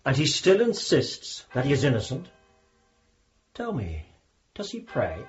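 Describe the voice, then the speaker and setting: A man's voice: medium pitch, wildly swooping pitch, unhurried, faint music behind. An actor playing a sceptical, impatient Victorian clergyman in a radio drama, pointed and exclamatory. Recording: dull lo-fi audio.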